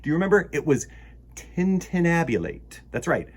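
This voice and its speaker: A man's voice: fairly high pitch, very animated, moderate pace, breathy edge. A man hosting a children's storytime, reading aloud and chatting expressively to young listeners in an enthusiastic, encouraging manner. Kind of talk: expressive read-aloud and chat addressed to children.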